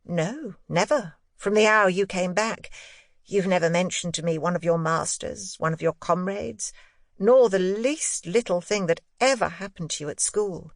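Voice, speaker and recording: A woman's voice: lowish voice, moderate pace, dry recording, lightly acted. An anxious governess, played by a woman narrator, speaking in a dry, close recording. She is earnest and probing yet tender, as in a classic ghost story audiobook.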